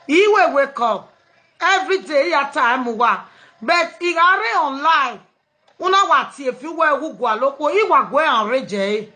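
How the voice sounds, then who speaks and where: A Nigerian woman with a high, bright-edged voice hosts a live online show. She slides easily between pidgin and other tongues, chatting with callers and laughing often. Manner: mixes pidgin, English and local languages, laughing easily. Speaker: host of an online live program. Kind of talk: casual live chat with callers.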